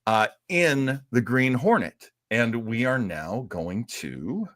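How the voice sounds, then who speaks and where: A man co-hosting a live talk show about old radio, telling its history as an expressive storyteller. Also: mid-range voice, slow. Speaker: co-host.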